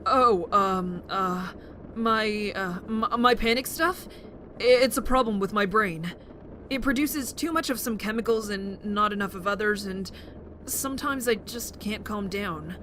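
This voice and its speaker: A woman's voice: mid-range voice, unhurried, a bit bright, close to an everyday voice. A woman voicing a nervous but wry, friendly teenage boy in an audio drama, hesitant and prone to stammering. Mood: anxious. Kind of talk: fan audio drama acting. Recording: noisy ambience behind the voice.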